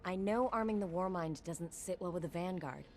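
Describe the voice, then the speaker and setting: An actress playing a brisk, wry tech operative in a video game, talking in a matter-of-fact, conversational way. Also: female voice, lowish voice, a little hiss or hum, lightly acted. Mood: wry, determined. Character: brisk, wry female sci-fi operative and technician. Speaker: tech specialist ally character.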